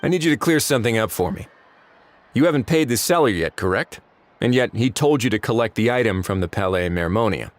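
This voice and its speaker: An actor playing a calm, dry investigator who speaks in a measured, deadpan, firm way. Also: male voice, fairly low pitch, natural voice. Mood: probing. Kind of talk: video game voice acting.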